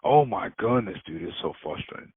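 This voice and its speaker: A man's voice: lowish voice, lively intonation, a little fast, slightly rough, no echo. A man, a freight broker, ranting in casual talk on a phone call, swearing a lot and laughing often. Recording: tinny phone line.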